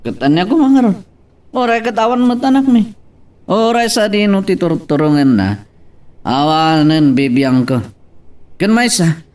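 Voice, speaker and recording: A man's voice: high-pitched, normal speed, long pauses, darkish tone, recorded from a distance, natural voice. A man acting a careless, irritable drinking husband with an Ilocano accent in an audio drama. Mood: defiant.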